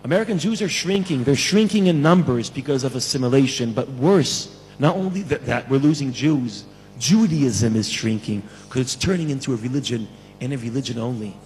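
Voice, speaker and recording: A man from Israel giving an emphatic lecture to a conference plenary, repeating key phrases for effect, with a husky voice. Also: mid-range voice, brisk, darkish tone, in a large hall, soft background music, rough recording. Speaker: conference speaker. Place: large conference hall.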